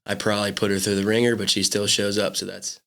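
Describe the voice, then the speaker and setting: A man's voice: fairly low pitch, little pitch movement, fast, creaky, fairly bright tone. Thirty year old guy from pennsylvania, super laid back, dude this dude that. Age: 30s. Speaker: podcast guest. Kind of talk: casual podcast chat.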